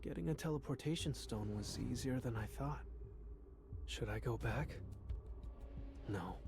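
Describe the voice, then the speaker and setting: Male actor as young monster hunter, brooding underdog resolve, mid-range pitch, anime game monologue, prominent music. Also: natural voice. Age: young adult. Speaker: game protagonist. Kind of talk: dramatic anime game voice acting, inner monologue. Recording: music behind the voice. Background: game soundtrack music.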